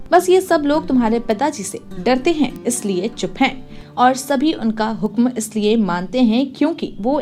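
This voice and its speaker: A woman's voice: on the high side, darkish tone. Woman as a wise, earnest queen mother. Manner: earnest, instructive. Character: wise, frank queen mother advising her son.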